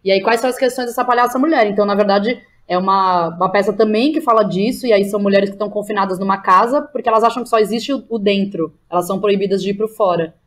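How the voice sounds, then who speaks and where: A woman's voice: medium pitch, fast talker, hardly any pauses. A woman with a Brazilian Portuguese accent talking casually about her own work as an interview guest, informal and slangy, thinking aloud as she goes. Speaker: guest, playwright.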